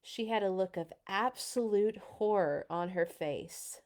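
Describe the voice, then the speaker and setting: Slow-paced woman reading to children. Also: mid-range voice, a little mellow. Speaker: children's storybook reader. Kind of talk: expressive read-aloud narration for children.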